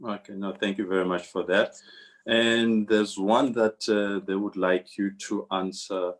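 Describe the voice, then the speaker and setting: A man moderates an online panel over a video call. His voice is very deep and flat. He reads out the questions haltingly, with many pauses. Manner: hesitant, with many fillers.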